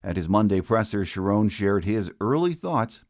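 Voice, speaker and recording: A man's voice: on the low side, very animated, moderate pace, a little mellow, dry recording, clean studio sound. A man hosting a sports podcast, delivering scripted narration. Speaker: podcast host.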